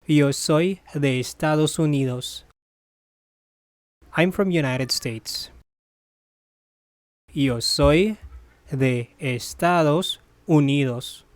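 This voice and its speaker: A Spanish teacher's voice reading a bilingual phrase drill, saying each phrase, translating it, then repeating it deliberately. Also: mid-range voice, no echo.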